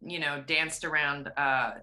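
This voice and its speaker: A woman singer who grew up near Pittsburgh, chatting casually and at length in an interview. She has a low, strong rock voice. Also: a little slow. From USA, outside Pittsburgh. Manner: chatty, animated, rambling. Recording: roomy echo.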